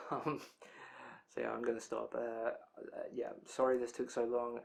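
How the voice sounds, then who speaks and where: A young man rambles through an anime news roundup, his voice tired and worn out from talking so long. He keeps it loose and chatty, drifting into side remarks at an ordinary, mid-range pitch. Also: flat delivery, measured pace, gravelly, slight background noise. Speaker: anime release news vlogger.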